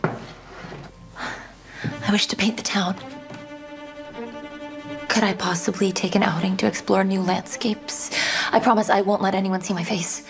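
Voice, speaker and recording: An actress playing a sheltered young noblewoman, a meek but quietly sly daughter who speaks softly and hesitantly in an audio drama. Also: medium pitch, steady tone, unhurried, long pauses, music in the background, natural voice. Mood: pleading, sly. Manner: hesitant, soft, deferential. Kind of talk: dramatised audio fiction acting.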